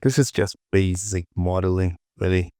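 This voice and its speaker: A man casually presenting a software tutorial, talking to himself as he works and repeating short phrases. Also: deep voice, steady tone, normal speed, no echo, clean studio sound.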